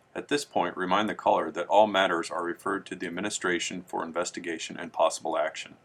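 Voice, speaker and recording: A man reads scripted instructional narration as a training presenter, in a measured, formal manner. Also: low voice.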